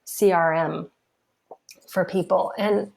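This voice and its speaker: A woman's voice: fairly low pitch, fairly even intonation, slow, vocal fry, darkish tone. A woman guest on a podcast, talking casually about her marketing work, chatty, laughing often and restarting her phrases. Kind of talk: casual interview conversation. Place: podcast recording.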